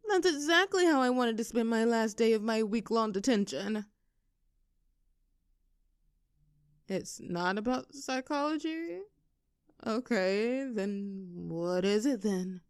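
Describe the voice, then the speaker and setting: A woman playing a popular high school cheerleader bully with a hidden soft side in an audio roleplay, teasing and sarcastic. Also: clear, resonant tone, dry recording, studio quality, close to an everyday voice. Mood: sarcastic, wary. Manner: teasing, sarcastic, with flustered stammers when cornered. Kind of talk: audio roleplay acting, one side of a dialogue.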